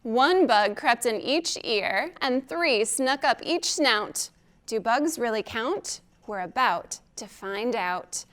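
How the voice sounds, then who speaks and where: A woman with a high voice reads aloud for young children in a storytime style. Her pace is slow and deliberate, with expressive phrasing and questions aimed at the little ones. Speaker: children's librarian hosting storytime.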